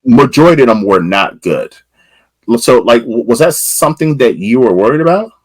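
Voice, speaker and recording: A man's voice: medium pitch, expressive, fairly quick, no echo. A man hosting a podcast interview, asking long rambling questions with lots of fillers. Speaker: podcast host.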